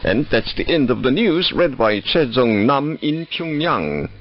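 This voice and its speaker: A man reads formal state news slowly, with stately emphasis and a voice that swoops widely in pitch. The sound is muffled and lo-fi, as if caught over shortwave radio. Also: big pitch swings. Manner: slow, measured delivery with stately emphasis. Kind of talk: formal scripted news reading.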